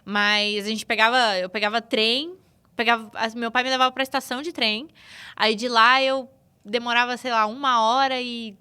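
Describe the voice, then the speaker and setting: A woman's voice: fairly high pitch, steady tone, moderate pace, sharp tone, clear, resonant tone. A woman, a sports journalist guest chatting loosely in an interview with many filler tags. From São Paulo state, Brazil. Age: 20s. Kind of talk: casual interview chat.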